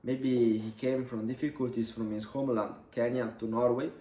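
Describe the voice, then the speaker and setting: A man in his twenties from Italy, a native Italian speaker, telling a personal story in English for his vlog, halting with many pauses. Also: mid-range voice, little pitch movement, mellow. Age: 20s. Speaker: vlogger. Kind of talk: casual storytelling to camera. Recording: echoey room, mic at a distance.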